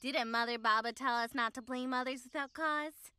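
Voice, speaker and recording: A woman's voice: high-pitched, steady tone, moderate pace, sharp tone, clean tone, dry recording. A woman playing a playful, confident, reassuring older temple sister in an anime-style audio drama. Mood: teasing.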